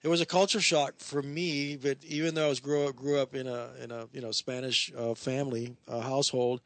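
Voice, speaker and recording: A man's voice: mid-range voice, level tone, brisk, no echo. Easygoing man in his fifties, rambling. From Puerto Rico. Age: 50s. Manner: rambling, easygoing, with frequent restarts. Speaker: guest musician. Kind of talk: casual chat. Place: radio studio. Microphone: studio microphone.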